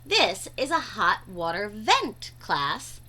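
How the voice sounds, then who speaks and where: A woman voicing an enthusiastic, calm female schoolteacher from a children's storybook, instructive and emphatic. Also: medium pitch, takes it slowly, pitched below the performer's own voice, full character voice.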